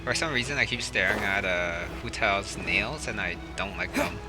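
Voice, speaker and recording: Low-voiced man musing over gameplay. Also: moderate pace. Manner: offhand, musing, with fillers. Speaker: gaming commentator. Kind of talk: casual gameplay commentary. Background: game music.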